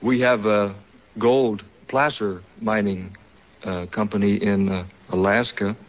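A man from Seattle giving polite, halting answers as a contestant on an old radio show. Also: fairly low pitch, fairly even intonation. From Seattle, United States. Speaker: contestant, weather forecaster. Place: radio studio with audience. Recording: dull old radio recording, faint audience.

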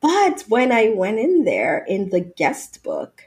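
A woman's voice: a little high, very animated, slow, darkish tone. A playful woman from Jamaica who grew up partly in the United States, chatting casually as a podcast guest. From Jamaica, moved to the United States as a tween. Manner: playful, laughs often while talking. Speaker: podcast guest, emergency physician. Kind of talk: casual interview chat.

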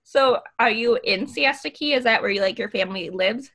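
Female podcast host, young adult, American accent, slightly high bright voice, informal chat. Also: fairly quick. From Michigan, United States. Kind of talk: casual interview chat.

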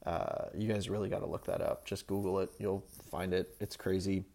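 A man's voice: low voice, little pitch movement, brisk, gravelly, darkish tone. A man from Seattle hosting a casual chat, talking in rambling, enthusiastic lists. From Seattle, USA. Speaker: podcast host, real estate agent.

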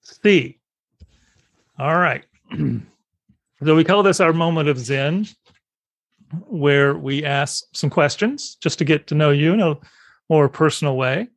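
A woman with a low voice hosts an interview and offers encouraging words. The sound is dry and close, with no room echo. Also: takes it slowly, pauses often. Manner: encouraging, affirming. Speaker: interview host. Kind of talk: casual interview.

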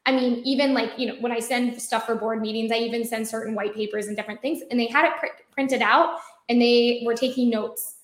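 A woman with an American accent explains her work in relaxed, rambling talk, restarting sentences and leaning on "like" and "um". Her voice sits a touch high, and the room gives it a noticeable echo. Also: fairly quick. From United States. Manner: chatty, many fillers and restarts. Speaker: marketing guest. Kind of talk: conversational interview. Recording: roomy echoey sound.

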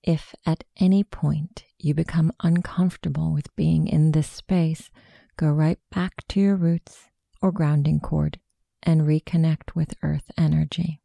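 Woman, guided meditation, hushed soothing delivery, even intonation, very mellow, close dry sound. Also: sits low, gravelly, no room sound. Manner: slow, hushed, soothing instruction.

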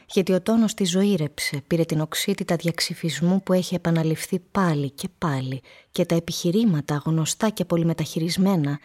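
A woman narrating a radio play as a literary storyteller, measured and descriptive. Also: lowish voice, darkish tone, lightly acted.

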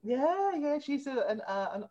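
A woman's voice: high-pitched, sing-song, a little slow, dark tone, dull audio. A woman, a guest telling stories in a playful, chatty way and laughing often. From Australia. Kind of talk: casual chat.

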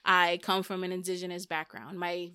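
Young adult woman, podcast host, blunt and emphatic, bright crisp voice, dry close sound. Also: on the low side, level tone, normal speed, no room sound. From Dominican and Puerto Rican family, raised in the US. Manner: blunt, emphatic, swears freely. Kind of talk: casual podcast chat.

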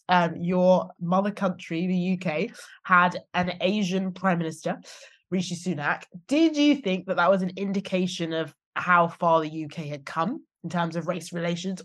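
A woman hosts a relaxed podcast interview in a slightly low voice. She is chatty and laughs easily. Her questions run long and wind through several thoughts before they land. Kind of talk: casual interview conversation.

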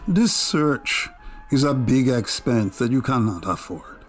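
A man acting a sly, coolly haggling Harlem numbers racketeer in a period audio drama, smooth and evasive. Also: medium pitch, sing-song, unhurried. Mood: pressing, persuasive. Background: music.

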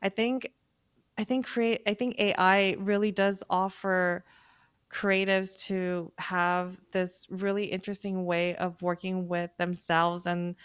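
A woman musician speaking as a guest in a casual panel talk, thoughtful and halting, with many fillers and restarts. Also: on the low side, little pitch movement, smooth, dry recording, studio quality.

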